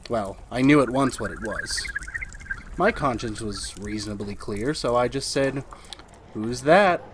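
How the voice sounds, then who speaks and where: A man's voice: medium pitch, expressive, a little slow, background noise, close to an everyday voice. A man acting a fretful, henpecked husband in a retro radio drama, hesitant and confiding. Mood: wary confiding. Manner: nervous, hesitant, aggrieved. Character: henpecked, fretful, very long-lived husband of a witch. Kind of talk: retro 1940s radio drama, confiding first-person narration.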